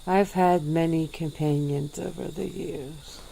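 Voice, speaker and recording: A woman voicing a centuries-old female vampire in an ASMR roleplay, speaking in a slow, drawn-out, purring way. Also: steady tone, gravelly, slight background noise, heavily acted, low-quality recording. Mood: languid. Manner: slow, low, drawn-out purring delivery with soft laughs.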